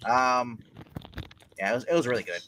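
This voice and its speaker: A man's voice: mid-range voice, expressive, moderate pace, bright, forceful tone, a little hiss or hum, rough recording. A man co-hosting a casual livestream, talking about pop culture in a rambling, informal way. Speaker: livestream co-host.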